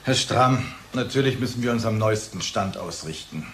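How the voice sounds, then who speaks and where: An actor playing a brisk, market-minded pharmaceutical boss, pompous and impatient, in a German radio drama. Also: male voice, fairly low pitch, animated, slight background noise. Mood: pushy. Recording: clear background room ambience.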